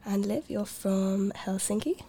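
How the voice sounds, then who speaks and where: A woman's voice: mid-range voice, a little slow, vocal fry, warm tone, a little hiss or hum. A woman from Sweden hosting a casual art chat, chatty, with many fillers and restarts. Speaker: radio show host.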